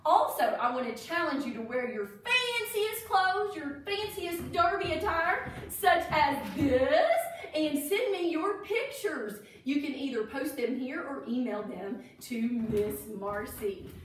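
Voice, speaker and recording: A woman with a high, very lively voice reads aloud to children in an expressive way, pausing for little explanations. She is recorded away from the mic in a very echoey room. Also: recorded from a distance, slight background noise. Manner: animated, expressive, addressing children. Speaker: children's story-time reader, educator.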